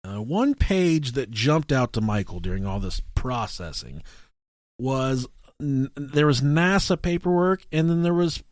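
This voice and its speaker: A man co-hosting a podcast, telling stories casually and comically in a profane, halting way. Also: medium pitch, animated, dry recording, studio quality. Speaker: podcast co-host.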